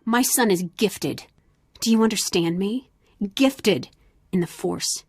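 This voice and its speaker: An actress playing a proud, determined mother in a video game, earnest and intense with a husky voice. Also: mid-range voice, slow, no room sound, studio quality. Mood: urgent, scornful. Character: proud, determined mother with scorn for her husband.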